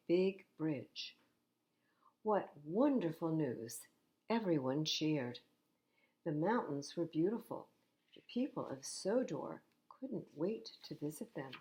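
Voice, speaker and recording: An older woman reading children's stories aloud at storytime, expressive and unhurried, with little asides to young listeners. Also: on the low side, highs cut off. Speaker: library storytime reader.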